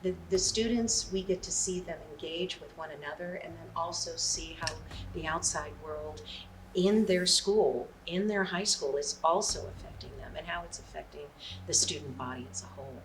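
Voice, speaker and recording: A woman giving a reflective interview answer, tentative and pausing to find her words. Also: on the low side. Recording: distant mic, faint background noise.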